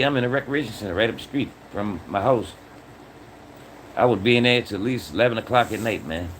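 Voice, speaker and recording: A man's voice: lowish voice, slight background noise, poor audio. A man in his sixties talking casually to his livestream chat, earnest and repeating his points, often ending phrases with "man". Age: 60s. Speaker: livestream host.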